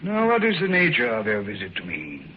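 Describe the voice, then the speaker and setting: An actor playing an older doctor of the supernatural in a 1950s radio drama, speaking in a measured, modest, courteous way. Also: male voice, fairly low pitch, wildly swooping pitch. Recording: muffled old radio broadcast, faint hiss.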